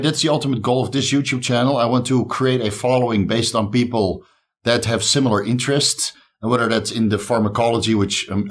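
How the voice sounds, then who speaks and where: A man from the Netherlands with a Dutch accent and a slight speech impediment, talking casually and upbeat to his viewers as a YouTube host. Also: fairly low pitch. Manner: upbeat, encouraging. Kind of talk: casual talk to camera.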